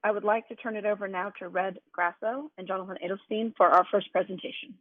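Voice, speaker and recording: A woman chairing a committee meeting on a conference call, her voice a little hoarse from allergies, laying out ground rules in an organized way. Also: mid-range voice, fairly even intonation, quick pace, no echo. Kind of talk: formal meeting opening. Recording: tinny conference call line.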